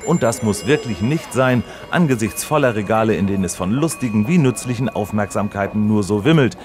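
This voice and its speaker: An actor playing an earnest, probing radio reporter in a mock feature and interview. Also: male voice, lowish voice, expressive, fairly quick, darkish tone, soft background music, close to an everyday voice. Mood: upbeat presenting.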